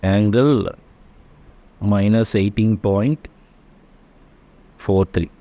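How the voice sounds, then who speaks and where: A man with a fairly low voice teaches a worked engineering problem step by step. His pace is very slow and halting, and he stops now and then to check that it is understood. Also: warm tone, dull audio. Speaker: electrical engineering lecturer. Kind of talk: step-by-step worked math tutorial.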